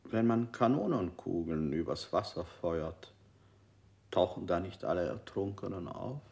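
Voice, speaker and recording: Calm interrogator, low voice, probing questions, 1960s radio play. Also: male voice, unhurried, gravelly, slight background noise. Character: cold male interrogator, middle-aged.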